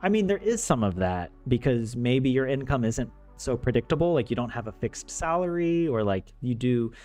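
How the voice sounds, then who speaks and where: A man's voice: mid-range voice, big pitch swings, moderate pace, mellow. An American young man chatting casually on a live stream about life abroad, joking and laughing often between fillers and restarts. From United States. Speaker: streamer teaching Finnish. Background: faint video game music.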